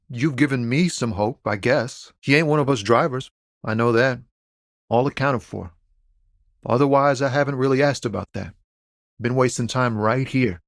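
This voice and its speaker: An actor playing a laid-back, philosophical lorry driver and amateur poet in a video game, talking in a wry, easygoing, slangy way. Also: male voice, medium pitch, no echo, studio quality, natural voice. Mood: warm, appreciative, then wry, musing. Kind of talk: video game character dialogue.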